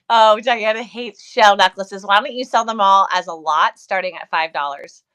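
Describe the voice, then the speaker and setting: Bright-voiced woman in casual livestream chat. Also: medium pitch, clear, resonant tone. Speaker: co-host of a vintage seller livestream.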